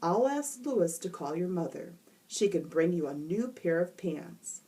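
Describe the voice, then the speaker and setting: A woman voicing a calm, matter-of-fact schoolteacher in a storybook reading, speaking in a level, sensible and unhurried way. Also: on the low side, recorded from a distance.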